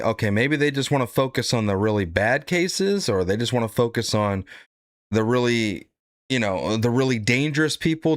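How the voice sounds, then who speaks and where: A man's voice: mid-range voice, fairly bright tone, no echo, clean studio sound. A man hosting casual political reaction commentary, blunt and profane, rambling with frequent "right?" tags.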